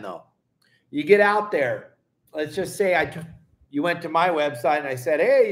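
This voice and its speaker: A man's voice: on the high side, fairly bright tone. Folksy, emphatic middle-aged male fishing guide. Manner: folksy and emphatic, addresses viewers as gang. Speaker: fishing guide and boat captain. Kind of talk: casual video lesson to camera. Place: indoor room at home.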